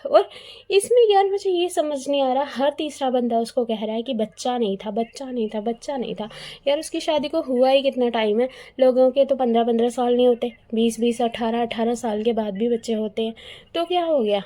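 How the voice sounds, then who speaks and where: A woman talks like a video commentator going over gossip. Her voice sits a little high but has a mellow, relaxed tone. She is conversational and opinionated, often repeating phrases to press her point. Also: a little fast. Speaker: YouTube commentator. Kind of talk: casual gossip commentary, retelling events.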